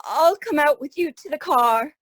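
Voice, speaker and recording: A woman's voice: sits high, animated, moderate pace, dry recording. A woman playing a fretful, loving mother of a grown son in an old-time radio drama, tender and pleading. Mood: fond worry.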